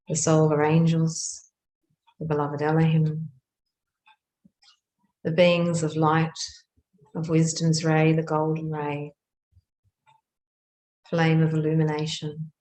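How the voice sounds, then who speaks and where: Female meditation guide, low and very even in tone, measured hushed phrases with pauses, roomy echoing recording.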